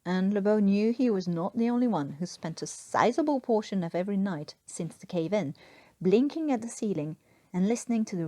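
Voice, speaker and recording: Mellow-voiced woman reading a story. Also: medium pitch, no room sound. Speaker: podfic reader and story author. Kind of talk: scripted fan fiction narration.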